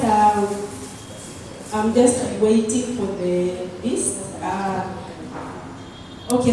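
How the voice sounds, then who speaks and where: A woman leading a workshop session in a loose, relaxed way, with many fillers and small laughs, recorded from a distant mic in a large meeting room. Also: mid-range voice. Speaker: workshop facilitator. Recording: echoey hall recorded from far off with background noise.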